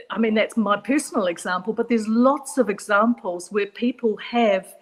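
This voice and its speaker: A middle-aged woman with a New Zealand accent talks as a psychologist guest on a radio discussion. She is measured and reflective, repeating phrases to stress her points. Her voice sits at an everyday middle pitch. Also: rough recording.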